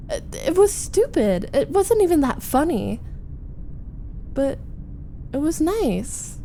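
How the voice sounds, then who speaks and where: A woman voicing a flustered, needy young roommate in a chatty, halting, defensive roleplay audio, confiding as she vents. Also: high voice, big pitch swings, gravelly, mellow, a little hiss or hum, exaggerated voice. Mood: fond, wistful. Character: flustered, needy young female roommate venting about a date. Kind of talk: roleplay ASMR audio acting.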